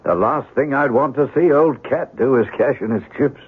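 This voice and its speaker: A man's voice: medium pitch, normal speed, slightly rough, lightly acted. An actor in an old-time radio drama playing a loyal, chatty airman with a folksy, slangy manner. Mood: folksy, earnest. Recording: tinny narrow-band old radio recording.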